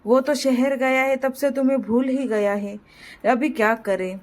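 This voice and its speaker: A nosy villager voiced by a woman in a cartoon tale, high in pitch. The villager pries bluntly and gossips about other families. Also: fairly even intonation, measured pace, a little mellow. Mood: prying. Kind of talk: moral story cartoon dialogue.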